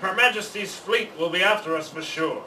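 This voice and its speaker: A cautious, nagging parrot from a children's storybook, acted out by a man. The voice is high and sharp. It sounds roomy, with faint noise behind it. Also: moderate pace, far from the mic. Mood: brisk, eager. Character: pirate's fretful parrot companion, cautious and nagging.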